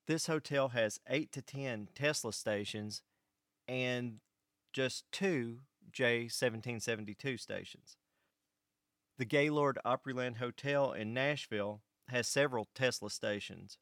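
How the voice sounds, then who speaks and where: Clear-voiced American man telling an anecdote. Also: mid-range voice, measured pace, a bit bright, no room sound, studio quality. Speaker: vlog host and electric cooperative employee. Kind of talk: first-person anecdotal monologue to an audience.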